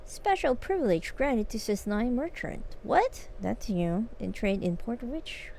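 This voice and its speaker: A woman game streamer chatting casually and commenting on gameplay in an informal, halting way. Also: fairly high pitch, very animated, gravelly, mellow, a little hiss or hum. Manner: informal, halting, repeats herself. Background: game music.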